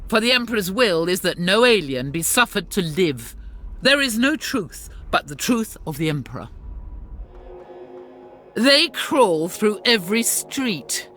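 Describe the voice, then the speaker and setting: An actress voicing a devout, zealous warrior nun with a stern, commanding delivery. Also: female voice, medium pitch, big pitch swings, measured pace, a bit bright, faint music behind. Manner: fervent, commanding. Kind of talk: video game cutscene voice acting.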